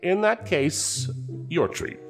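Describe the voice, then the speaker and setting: An actor playing a composed counterterrorism agent in a science fiction audio drama, answering tersely with deadpan humor. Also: male voice, a little high, takes it slowly, faint music behind.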